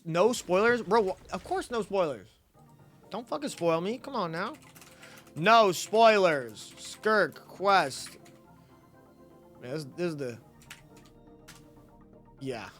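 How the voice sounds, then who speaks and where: Casting: guy streaming games, bright high voice, slangy and playful, swearing freely, music behind. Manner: slangy, playful, profane, hype reactions. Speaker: game streamer. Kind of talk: casual live gameplay commentary.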